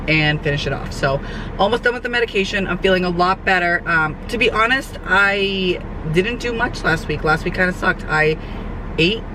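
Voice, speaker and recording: A young woman, a fitness trainer, chats casually in a vlog update, her voice hoarse and nasal from a cold. She rambles with lots of fillers. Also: lowish voice, expressive, normal speed. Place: sitting outdoors, traffic nearby. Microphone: camera mic. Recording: outdoor camera audio with traffic noise.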